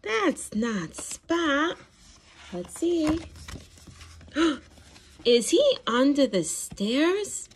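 Very animated, high-voiced woman reading to toddlers. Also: drawn-out words, pauses often, slight background noise. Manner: exaggerated gasps, questions to young children, encouraging praise; animated, expressive, sing-song. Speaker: children's read-aloud storyteller. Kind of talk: interactive picture-book read-aloud for toddlers.

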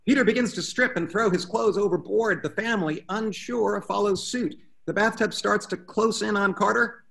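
A man's voice: high voice, moderate pace, vocal fry, a bit bright. A man reading stage directions as scripted narration at a table read over a video call. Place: room at home, video call.

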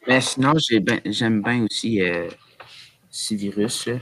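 A man's voice: on the low side, measured pace, a bit bright, low-quality recording. A young man with a Quebec French accent chatting casually on a group video call. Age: young adult. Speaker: podcast panelist. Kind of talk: casual chat.

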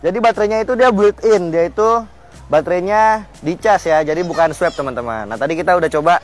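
A man's voice: high voice, fast talker. A young man presenting an on-location vehicle test on a flooded street, chatty and full of fillers. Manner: chatty, keeps addressing viewers as friends, many fillers. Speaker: automotive news presenter. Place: outdoors on a flooded residential street.

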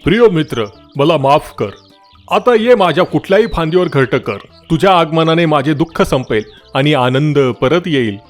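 A man voicing a stern but secretly kind talking tree in a children's moral story cartoon, with emphatic, drawn-out scolding. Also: a little high, animated, faint music behind, natural voice. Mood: sorrowful, kind.

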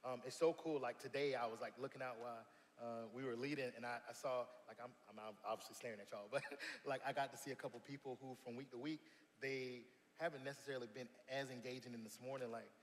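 A man from South Carolina, a worship leader talking earnestly as a guest on a church stage, often pausing and restarting. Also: medium pitch, steady tone. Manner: earnest, many fillers and restarts. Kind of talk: casual interview chat. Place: church stage, large hall. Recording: long hall reverb, faint noise.

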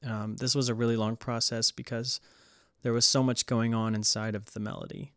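A man's voice: medium pitch, little pitch movement, moderate pace, fairly bright tone, dry recording, studio quality. A man giving a casual, hesitant tutorial voice-over as he explains music production. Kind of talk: casual screen-recorded tutorial narration.

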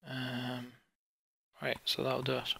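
A man's voice: medium pitch, monotone, slow, vocal fry, bright, forceful tone, breathy edge. A man doing casual game commentary as a let's play host, muttering and thinking aloud to himself.

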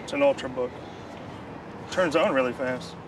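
A man playing a blunt security guard sidekick, deadpan and curt. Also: medium pitch, normal speed, lightly acted. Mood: curt, stern. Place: outdoor shopping plaza. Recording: distant mic, outdoor street noise.

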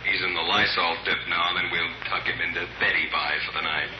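A man's voice: sits low, level tone, fast, lightly acted. An actor playing a matter-of-fact, slangy policeman calling in, in a 1950s radio drama. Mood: businesslike. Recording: echoey, muffled, noisy old radio recording.

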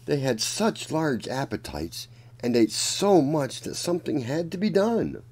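A man reading a children's picture book aloud as a storyteller, in a deliberate, dramatic manner. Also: mid-range voice, big pitch swings, darkish tone, slightly rough. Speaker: children's storyteller and channel host.

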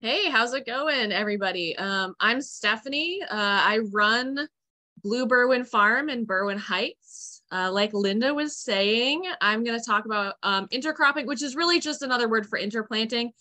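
A woman's voice: fairly high pitch, normal speed, pushed and bright. A young woman farmer giving a chatty, upbeat online presentation with many fillers, speaking over a video call. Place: room at home, indoors.

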